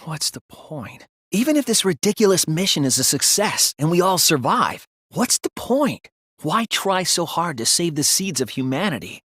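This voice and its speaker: A man voicing an earnest, anxious, thoughtful teenage student detective in a video game, with a hesitant, soft, slightly husky delivery. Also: on the high side, very animated, moderate pace, no room sound, clean studio sound, heavily acted. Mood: despairing. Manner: hesitant, stammering when flustered, earnest. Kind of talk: English video game character voice acting.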